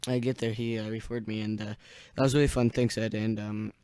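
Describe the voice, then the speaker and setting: A young man hosting an amateur radio net in casual, halting, easily distracted chat. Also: lowish voice, flat delivery, mellow, clean tone, no room sound.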